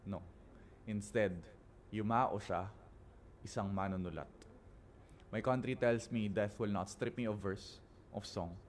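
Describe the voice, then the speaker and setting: Low-voiced Filipino man performing spoken word. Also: unhurried, slight background noise. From Philippines. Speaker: guest spoken word poet.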